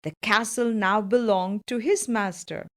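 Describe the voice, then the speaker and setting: A woman's voice: medium pitch, steady tone, unhurried. A woman narrating a children's fairytale from a script, as a storyteller.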